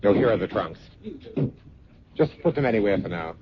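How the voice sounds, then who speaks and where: An actor playing a patient, protective and quietly haunted husband in an old-time radio drama, earnest and soothing. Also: male voice, on the low side, lively intonation, moderate pace, natural voice. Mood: calm, protective. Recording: dull old radio recording, faint noise.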